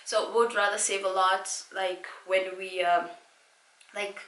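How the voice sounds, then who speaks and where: A woman's voice: mid-range voice, little pitch movement, measured pace, fairly bright tone. A woman in her late twenties from Kenya with a Kenyan accent, chatting casually and playfully as she co-hosts a Q&A vlog. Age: late 20s. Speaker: vlogger co-hosting a couples' channel. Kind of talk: casual Q&A chat. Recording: echoey room.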